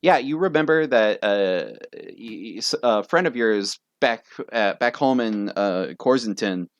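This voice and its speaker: A man's voice: fairly low pitch, dry recording, clean studio sound. A man running a tabletop game as game master, casual, swearing and laughing often.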